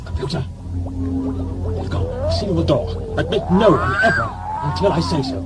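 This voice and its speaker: A man's voice: sits high, wildly swooping pitch, moderate pace, over loud music. A man playing a fussy, irritable, self-serving governor of a prison ship in a sci-fi audio drama, with a peevish, exasperated manner.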